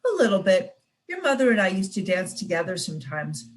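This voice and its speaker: A woman voicing a gentle storybook father. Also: mid-range voice, sing-song, fairly quick, a little hiss or hum, lightly acted. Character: fond father, patient dance teacher.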